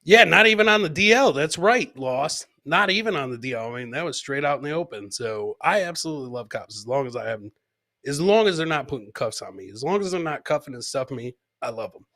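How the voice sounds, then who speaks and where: A man hosting a live comedy show, joking crudely about video clips in an animated way and repeating his lines for emphasis. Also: a little high, quick pace. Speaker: podcast host.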